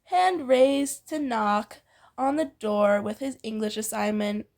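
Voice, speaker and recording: A woman's voice: on the high side, takes it slowly, a little mellow. A woman reading fan fiction aloud informally, giggly and theatrical, often breaking off into banter.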